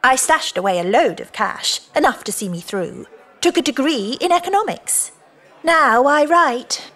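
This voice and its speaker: A brash British woman of about forty in an audio drama. Her voice is fairly high and very animated, with unhurried delivery. She is cynical, blunt and flirtatiously mocking. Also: heavily acted. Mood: frank. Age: middle-aged.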